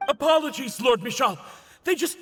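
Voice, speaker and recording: A man's voice: very high voice, animated, moderate pace, fairly bright tone, full character voice. A man voicing a frightened, frantic henchman in a dramatic video game. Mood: panicked. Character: frantic, frightened male henchman reporting to his lord.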